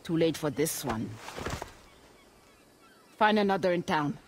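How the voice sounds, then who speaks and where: A woman's voice: low-pitched, lively intonation, measured pace, a little hiss or hum. An actress playing a wary, grateful runaway woman in a video game. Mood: wary, measured. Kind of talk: dramatic video game acting.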